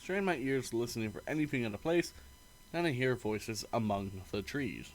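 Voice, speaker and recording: A man's voice: mid-range voice, animated, normal speed, a little hiss or hum, rough recording. A man streaming a game, reading its narration aloud expressively, sometimes stumbling and breaking into casual asides.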